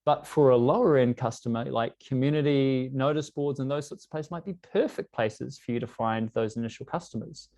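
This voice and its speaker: A man with a New Zealand English accent gives a workshop talk on growing a tutoring business. His pitch sits in the middle for a man. He talks conversationally and leans on fillers as he explains. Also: a little fast, few pauses, a little mellow. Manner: conversational, uses many fillers like "you know". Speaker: workshop presenter. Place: lives in London.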